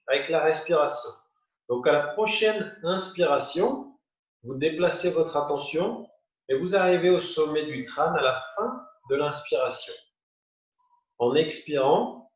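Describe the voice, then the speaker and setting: A man teaching yoga nidra, guiding a meditation in short, unhurried phrases with pauses. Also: a little high. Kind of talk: guided meditation, spoken instructions. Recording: muffled, roomy, distant from the mic.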